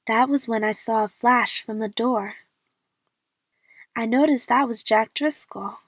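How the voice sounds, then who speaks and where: A woman's voice: on the high side, unhurried, no room sound, clean studio sound. A young woman playing an eager, chatty movie fan who narrates her adventure in the first person for an audio drama. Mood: wry narration.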